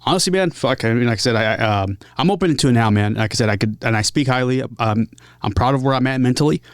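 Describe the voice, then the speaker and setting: A man speaking casually and openly as an interview guest, earnest, in short halting phrases. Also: mid-range voice, no echo, studio quality. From San Diego area, United States. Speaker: podcast guest, musician. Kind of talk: casual, candid interview talk.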